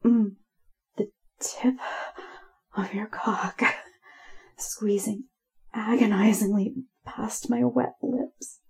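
A woman narrating adult erotic audio slowly and intimately, with a breathy, husky voice that often drops to a whisper and lingers between sighs. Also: medium pitch, steady tone. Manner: slow, hushed, lingering delivery broken by sighs and moans.

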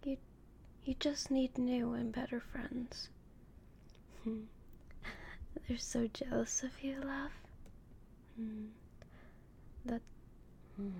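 A young woman whispering slowly and intimately as a doting, possessive girlfriend in an audio roleplay. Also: on the high side, gravelly, a little hiss or hum, full character voice. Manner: soft whispering, slow and intimate.

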